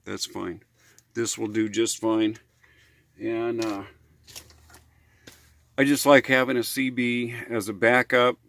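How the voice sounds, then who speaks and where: A man's voice: medium pitch, level tone, takes it slowly, creaky, long pauses, fairly bright tone, dry recording. An American man talking casually in a how-to vlog, in a rambling, hesitant, folksy way. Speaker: RV living vlogger.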